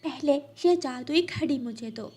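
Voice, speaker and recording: A woman's voice: high-pitched, normal speed, warm tone, slight background noise, natural voice. A woman voicing a brave, good-hearted little female bird in a children's cartoon, speaking emphatically. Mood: defiant. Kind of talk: Hindi children's cartoon dialogue.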